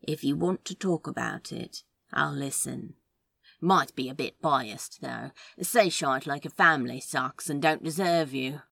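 A woman voicing a gruff, blunt, secretly tender man who grumbles in British slang, in an audio drama. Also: low voice, a little slow, a bit bright, no echo, clean studio sound, exaggerated voice. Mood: earnest, comforting.

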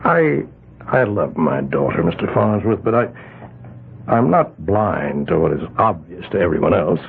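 An actor playing a folksy, evasive island patriarch in a 1970s radio drama, hesitant and smooth-talking. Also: male voice, low-pitched, very animated, measured pace, full character voice. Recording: tinny, lo-fi old radio broadcast.

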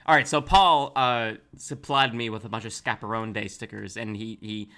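A man's voice: lowish voice, fairly even intonation, moderate pace, pushed and bright. A young man co-hosting a podcast in casual chat, laid-back and rambling with lots of fillers. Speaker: podcast co-host.

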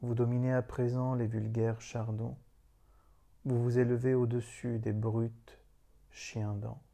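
Slow, very dark-voiced man reciting poetry. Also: mid-range voice, level tone, gravelly. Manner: grave, meditative, long pauses between short phrases. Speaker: meditation leader reciting own poem. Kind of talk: solemn spoken poetry recitation.

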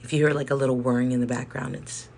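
A woman with a hoarse voice hosts her own podcast and rambles casually, breaking off her own sentences. A faint whirring hum sits under her voice in a small indoor room. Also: rumbling low, flat delivery, racing, darkish tone. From South Central Los Angeles. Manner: rambling, chatty, self-interrupting with laughs. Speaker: podcast host. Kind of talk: casual solo podcast monologue. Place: indoor room with space heater. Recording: faint background whirring noise.

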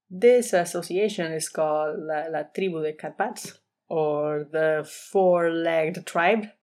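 A woman hosting a bilingual Spanish lesson podcast, speaking with a blend of Mexican and Colombian accents in a calm, measured way and repeating each sentence in English. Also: lowish voice. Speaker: Spanish teacher and podcast host.

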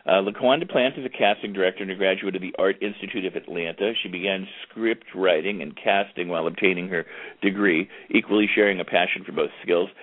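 A man with a low, deep voice hosts an internet talk radio show. He chats in a relaxed, easygoing way. The sound is tinny and phone-like, with no room echo at all. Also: fast, slightly rough. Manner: chatty, easygoing, plugs the show. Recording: tinny phone-like line, dry.

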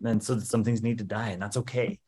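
A man's voice: fairly low pitch, steady tone, fairly quick, mellow, husky. A man co-hosting a podcast in casual chat, with a playful, joking manner. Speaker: podcast co-host.

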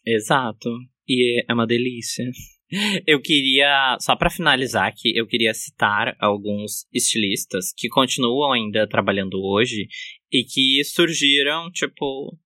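A man hosting a casual chat, talkative with quick asides and easy laughter. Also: medium pitch, sharp tone, no room sound. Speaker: podcast host.

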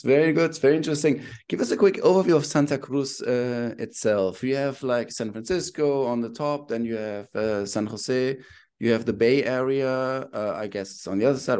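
A man's voice: mid-range voice, a little mellow, clear, resonant tone. A man hosting an interview show, greeting his guest and asking questions in a friendly, hesitant way with fillers. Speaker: interview host.

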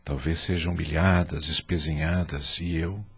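A man's voice: extremely low pitch, fairly even intonation, moderate pace, fairly bright tone, husky, heavily acted. An actor playing a remorseful, anxious middle-aged husband and father in a radio soap opera, speaking solemnly with formal diction. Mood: anxious brooding.

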